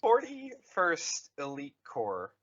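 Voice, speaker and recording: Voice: medium pitch, wildly swooping pitch, takes it slowly, a bit bright. Podcast cohost reading stuff out n chatting, sweary, very up and down voice, slightly muffled. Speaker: podcast co-host. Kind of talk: casual chat, reading out wiki entries.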